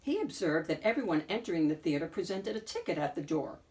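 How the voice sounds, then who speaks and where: A woman narrating a children's picture book in an expressive read-aloud style, with deliberate, dramatic emphasis. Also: lowish voice, distant mic. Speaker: storyteller, picture book reader.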